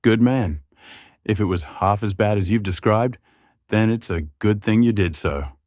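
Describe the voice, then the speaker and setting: A man's voice: on the low side, measured pace, a little mellow, no room sound, clean studio sound, lightly acted. A man voicing a tall, crooked, shrewd man in a fantasy story, guarded, probing and serious. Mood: grave, guarded.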